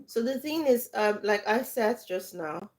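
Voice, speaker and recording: A woman's voice: medium pitch, unhurried. A woman from Nigeria with a Nigerian accent, hosting a livestream and giving casual, emphatic advice that often repeats itself. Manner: lively, emphatic, repeats herself. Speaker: livestream host and coach.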